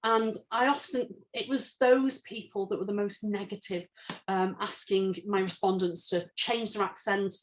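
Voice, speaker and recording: A woman's voice: medium pitch, fairly even intonation, normal speed, rough recording. A woman from England, an author talking candidly about her research in a conversational interview, with rising emphasis when indignant.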